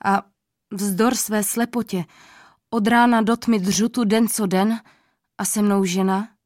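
A woman voicing a humble, wise blind old ferryman in his seventies, speaking slowly and quietly in a storybook reading. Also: mid-range voice, fairly even intonation, dry recording, studio quality, natural voice. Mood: solemn. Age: 70s. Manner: slow, quiet, earnest.

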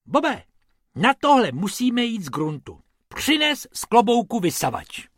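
Man putting on an excitable high-pitched cartoon rabbit. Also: dry recording, studio quality, exaggerated voice. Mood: brisk, bossy. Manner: excitable, chanting rhymes and commands. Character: cheerful, bossy cartoon rabbit magician, male. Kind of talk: children's storybook character voice.

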